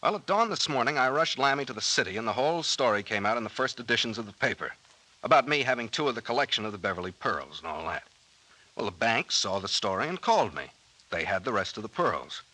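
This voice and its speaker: A man's voice: fairly low pitch, big pitch swings, fast talker, bright, forceful tone, full character voice, poor audio. A man acting in an old-time radio drama as a confident, genial sea captain sleuth with an American accent, explaining things in a self-assured way. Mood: assured, animated.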